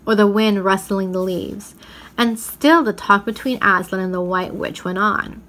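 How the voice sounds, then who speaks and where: A woman's voice: mid-range voice, fairly even intonation, unhurried, a bit bright. A woman narrating a children's story as a storyteller, reading expressively from the text.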